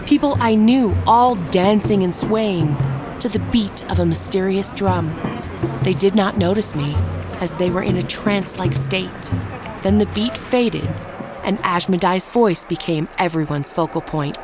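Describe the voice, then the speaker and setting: A woman playing a wronged, bitter village wife, telling her own dark fantasy tale in solemn, deliberate scripted narration. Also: mid-range voice, lightly acted. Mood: uneasy, awed. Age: middle-aged. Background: music.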